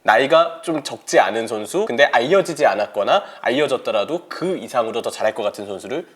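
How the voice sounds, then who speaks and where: A man's voice: mid-range voice, animated, brisk. A man speaking as a football commentator in a casual sports discussion.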